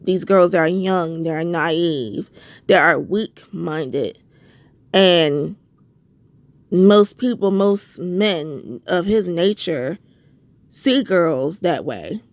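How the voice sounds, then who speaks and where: Young female vlogger with a head cold, stuffy and gravelly, heated casual rant, low-ish voice. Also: extremely slow, no echo. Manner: heated, repetitive, rhetorical questions. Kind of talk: casual ranting commentary.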